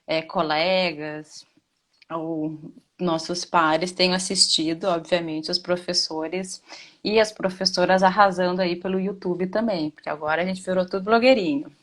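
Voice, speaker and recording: A woman's voice: on the low side, steady tone, normal speed. A woman teacher with a Rio Grande do Sul accent, chatting casually on a video call with frequent hesitant restarts. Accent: Rio Grande do Sul Portuguese. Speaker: administration teacher. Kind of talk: casual live class chat.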